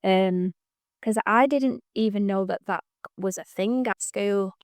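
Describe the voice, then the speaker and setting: A woman in her thirties, a business owner speaking as an interview guest, reflective and soft-spoken, trailing off with ums. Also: mid-range voice, measured pace, dry recording, clean studio sound. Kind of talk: casual interview chat.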